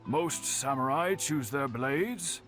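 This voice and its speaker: An actor playing a solemn, philosophical older master swordsmith in a video game, speaking formally and deliberately. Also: male voice, mid-range voice, animated, fairly bright tone. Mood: solemn, wise. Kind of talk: English video game voice acting. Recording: music behind the voice.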